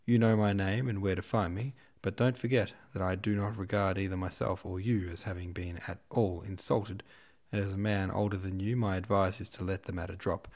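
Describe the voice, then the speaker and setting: A man reading the part of an aloof young aristocratic officer, speaking with cool, quietly contemptuous irony. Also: deep voice, fairly even intonation, brisk. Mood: cool authority.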